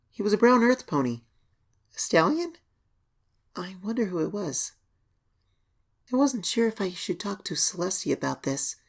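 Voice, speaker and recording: A woman voicing an anxious young pony filly, reading her diary as an expressive, hesitant horror story narration. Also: lowish voice, vocal fry, exaggerated voice. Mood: unnerved, wondering. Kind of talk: dramatic first-person horror story reading.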